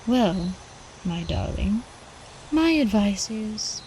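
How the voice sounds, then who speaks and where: A woman performs a predatory, elegant vampire countess for an ASMR roleplay. She speaks very slowly in a dark, velvety tone, teasing and possessive, drifting into soft whispers. Faint noise of a night with crickets sits behind her. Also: mid-range voice, lively intonation, rough recording. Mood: gloating, ominous. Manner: slow, sultry, teasing, slipping into whispers.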